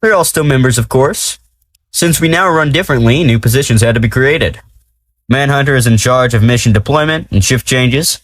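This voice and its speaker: A man's voice: medium pitch, normal speed, no room sound, natural voice. A man playing a cocky, self-important male superhero who explains things breezily and jokes, in an audio drama. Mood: breezy, boastful. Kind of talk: audio drama character acting.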